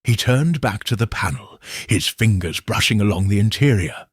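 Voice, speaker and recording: A man narrating a detective story in the first person with slow, expressive delivery. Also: lowish voice, slightly rough, dry recording, studio quality. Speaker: audiobook narrator.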